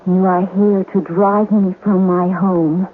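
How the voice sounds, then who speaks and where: An actress playing the ghost of a murdered wife in a radio drama, coquettish and menacing, with theatrical, taunting delivery. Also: female voice, lowish voice, flat delivery, slow, a little hiss or hum. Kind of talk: 1970s radio drama acting. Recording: muffled old radio broadcast, music underneath.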